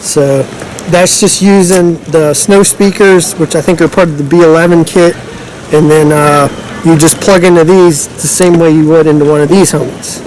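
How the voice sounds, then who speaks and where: Casting: male product demonstrator, slightly high voice, informal and off the cuff, some background noise. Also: steady tone, normal speed, darkish tone, rough recording. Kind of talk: casual unscripted product demonstration.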